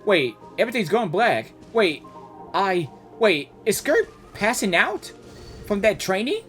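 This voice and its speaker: A game reaction streamer giving casual commentary, halting and repeating words while thinking aloud. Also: medium pitch, very animated, a bit bright, soft background music.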